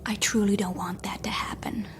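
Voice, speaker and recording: A woman playing a shy, anxious young honeymooning wife in an audio drama, fretting in a hushed, breathy, naturalistic way. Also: mid-range voice, little pitch movement, normal speed, in a whisper, close to an everyday voice.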